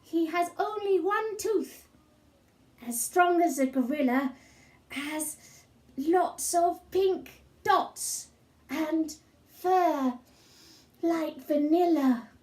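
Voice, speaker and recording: A woman's voice: extremely high pitch, very slow, long pauses, darkish tone, echoey room, pitched above the performer's own voice, exaggerated voice. A woman voicing a cheeky little boy in a storybook, earnest and wheedling as he fibs. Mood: earnest fibbing. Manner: wheedling, insistent, hesitant while inventing.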